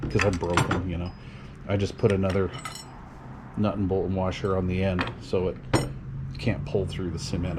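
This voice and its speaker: A middle-aged man casually talking through a DIY job to camera in a folksy, unhurried, step-by-step way. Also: sits low, mellow, slight background noise. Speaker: DIY radio hobbyist and channel host. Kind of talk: casual workshop explanation to camera.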